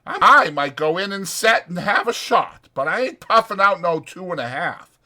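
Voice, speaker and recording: A plain-spoken, wary drifting ranch worker played by a male reader. The voice is raised and bright, with guarded, slangy, ungrammatical lines in a dramatized storybook reading. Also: high voice, sing-song, moderate pace, voice pushed up, heavily acted. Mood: casual, noncommittal.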